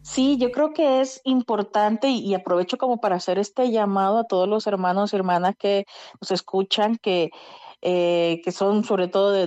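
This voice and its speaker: A woman's voice: medium pitch, warm tone, no echo, dull audio. A woman telling her story as an interview guest, earnest and often restarting her sentences as she urges listeners on. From immigrant living in Spain. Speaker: guest, domestic workers' rights advocate. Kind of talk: conversational interview testimony.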